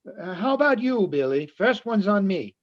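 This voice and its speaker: A man playing a friendly, earnest bar patron in a radio-style play, speaking in a measured, explanatory way. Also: sits high, big pitch swings, highs cut off. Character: friendly Austrian-toast-teaching bar patron, adult man. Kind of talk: radio-play style readers theatre acting.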